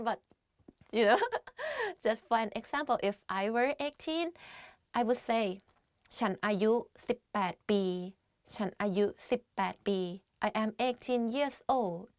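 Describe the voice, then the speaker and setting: Thai-accented woman, quick lively lesson host, higher voice, dry close mic. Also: no room sound. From Thailand. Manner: chatty, laughs, fillers of "like". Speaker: language teacher. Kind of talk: casual language lesson.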